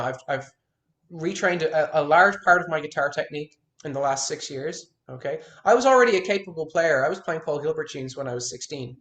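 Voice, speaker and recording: A man in his thirties, a guitar teacher, explains technique with emphatic, frank insistence, often restarting words as he drives a point home. His voice sits a little higher than most men's. The sound carries a noticeable room echo. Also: fairly bright tone. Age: 30s. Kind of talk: one-on-one lesson consultation.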